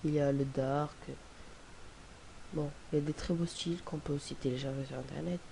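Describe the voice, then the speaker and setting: A young man with a cold, talking through a casual screen-recorded software tutorial in a rambling, hesitant way. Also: a little high, steady tone, brisk, vocal fry, low-quality recording. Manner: rambling, hesitant, many fillers and restarts. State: has a cold. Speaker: tutorial presenter. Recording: faint hiss on home recording.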